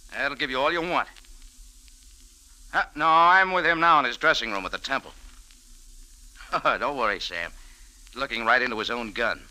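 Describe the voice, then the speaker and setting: An actor playing a tough, wisecracking American café owner and adventurer, clipped and sardonic, in old-time radio style. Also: male voice, medium pitch, bright, forceful tone, low-quality recording. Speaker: lead actor and narrator. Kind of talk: old-time radio detective acting and narration.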